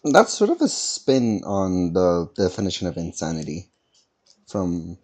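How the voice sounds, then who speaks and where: A man's voice: low-pitched, huge pitch swings, measured pace, a little mellow. A man giving casual, rambling, laid-back game stream commentary.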